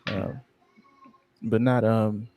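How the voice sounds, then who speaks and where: A man co-hosting a podcast in casual chat, rambling, low-key and sleepy-sounding, often losing his train of thought. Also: fairly even intonation, extremely slow, warm tone, slight background noise. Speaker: podcast co-host.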